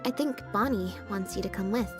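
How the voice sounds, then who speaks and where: An actress voicing a calm, deadpan young woman, a stage magician's assistant in a video game, speaking in a flat, understated, dryly matter-of-fact way. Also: medium pitch, a little fast, music in the background, close to an everyday voice.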